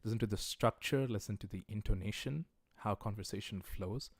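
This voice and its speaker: A man teaching a livestreamed lesson in a relaxed, conversational way, with many "uh" and "um" fillers, encouraging viewers as he recommends study resources. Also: on the low side, a little fast, slightly rough, no echo, clean studio sound. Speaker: language teacher.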